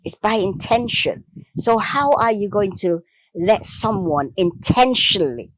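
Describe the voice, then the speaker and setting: A woman's voice: mid-range voice, expressive, a little slow, dry recording, low-quality recording. A woman speaking as an interview guest, emphatic and impassioned, repeating phrases for weight. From Jamaica. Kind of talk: impassioned discussion.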